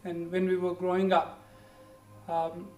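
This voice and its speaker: A yoga instructor in their forties from India, with an Indian accent and English as a second language, guiding a class with gentle, encouraging cues. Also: medium pitch, completely flat delivery, unhurried, slight background noise. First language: Hindi or Punjabi. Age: 40s. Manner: gentle, encouraging, keeps saying "good", simple repeated cues. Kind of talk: guided yoga class with pep talk. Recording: echoey room, distant mic, faint music.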